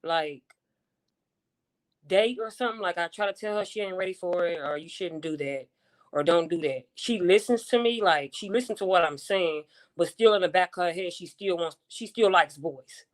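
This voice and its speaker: A woman's voice: fairly low pitch, normal speed. A woman, a mother of a teenager, talking earnestly in loose, run-on sentences during a group discussion. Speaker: participant, mother of a teen.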